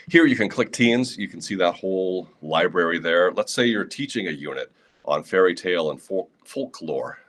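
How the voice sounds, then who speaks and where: A Midwestern American man with a low, deep voice runs a live training session for teachers over a computer mic. He talks casually and practically, stepping through each resource with plenty of "uh" fillers and the odd dry aside. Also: a bit bright. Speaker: school librarian presenting to teachers. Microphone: computer mic on a live video session.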